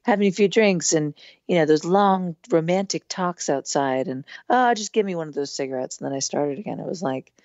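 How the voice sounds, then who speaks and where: Hoarse low-voiced woman, chatty. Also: brisk, darkish tone, dry recording, dull audio. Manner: chatty, rambling with many fillers. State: losing her voice, hoarse. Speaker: podcast guest, musician. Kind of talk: casual conversation.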